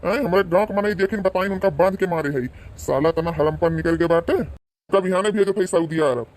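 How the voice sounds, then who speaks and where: Man acting an angry high-voiced Awadhi village father. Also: fairly quick, lightly acted, rough recording. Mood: angry, threatening. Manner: confrontational, taunting. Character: angry, gruff-mannered village father in an Awadhi comedy cartoon.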